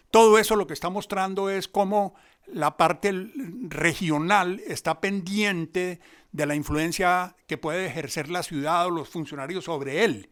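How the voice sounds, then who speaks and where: A Colombian man speaks off the cuff as an interviewed commentator. His voice sits a little high for a man, and he explains and analyses reflectively as he goes. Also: fairly bright tone. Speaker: interviewed commentator on theatre and history. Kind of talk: spontaneous analytical talk.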